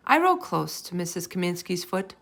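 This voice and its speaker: Creaky-voiced woman reading a children's story. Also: lowish voice, sing-song, brisk, fairly bright tone. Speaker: storybook reader. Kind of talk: expressive children's read-aloud narration.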